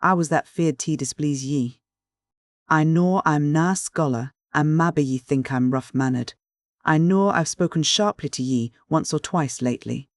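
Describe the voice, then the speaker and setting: A man voicing a rough, earnest shepherd with a husky Northern English dialect, blunt and stubborn. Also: a little high, a little slow, no room sound, studio quality. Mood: pleading. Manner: blunt, pleading, dialect-heavy.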